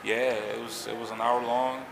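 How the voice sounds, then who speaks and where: A man from Haiti in his thirties, a pilot and jet company owner, telling his story in a relaxed, rambling interview with lots of fillers. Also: mid-range voice, normal speed, creaky. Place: outdoors on a city street. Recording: echoey with loud background noise.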